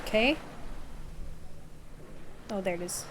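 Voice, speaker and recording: A woman's voice: medium pitch, lively intonation, slow. A woman live streamer giving short, conversational reactions to a visual novel in a casual way. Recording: very noisy stream with background ambience.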